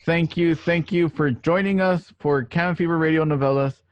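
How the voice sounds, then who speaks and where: A man hosting and narrating an online radio play, with a somewhat halting delivery. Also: fairly high pitch, steady tone, no room sound. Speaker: host and scene narrator. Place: home, remote online recording.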